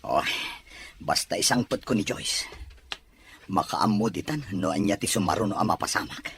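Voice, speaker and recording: A man's voice: lowish voice, lively intonation, normal speed, fairly bright tone, breathy edge, heavily acted. An actor with an Ilocano accent playing an earnest young man in love in a radio drama. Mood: anxious, pleading.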